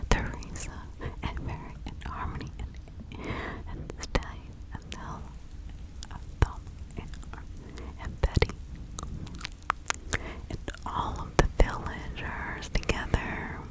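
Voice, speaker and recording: Kindly witch healer played by a woman for ASMR roleplay: fully whispered, unhurried, reassuring, with a hushed spell-casting manner. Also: lightly acted, rough recording. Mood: solemn, incantatory. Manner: slow, hushed, soothing, incantatory. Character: kindly female village witch and spiritual healer, reassuring, protective.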